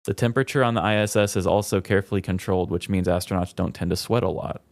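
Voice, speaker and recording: A man's voice: low-pitched, fairly even intonation, quick pace, a little mellow, no echo. Man chatting on a podcast, dry close sound. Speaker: podcast co-host. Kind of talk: casual comedic chat, reading trivia aloud.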